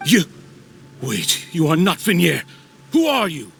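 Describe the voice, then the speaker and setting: Game casting: obsessive, desperate middle-aged lover, high voice, very expressive swings. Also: male voice, creaky, faint music behind, full character voice. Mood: shocked. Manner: emotional, halting, pleading. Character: obsessive, desperate man pining for lost love. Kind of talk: dramatic video game voice acting.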